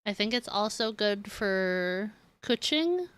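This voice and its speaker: A woman's voice: medium pitch, lively intonation, slow pace, a bit bright, clear, resonant tone, no echo. A woman chatting casually on a game live stream, rambling and giggly as she talks to viewers.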